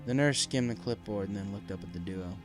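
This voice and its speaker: A man's voice: fairly low pitch, a little fast. A man narrating a dramatic story from a script as an audiobook reader. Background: faint music.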